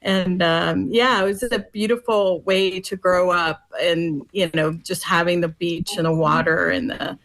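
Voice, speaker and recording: A woman's voice: on the low side, steady tone, a little slow, few pauses, a bit bright, low-quality recording. A woman from Florida, a radio guest, reminiscing in a friendly way with many fillers. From Florida, United States. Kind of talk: casual interview chat.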